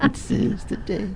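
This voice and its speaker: Forties guy on a podcast, gravelly, bouncy pitch, joking around. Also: fairly bright tone, a little hiss or hum. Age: 40s. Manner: playful, joking. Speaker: podcast co-host. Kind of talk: casual chat.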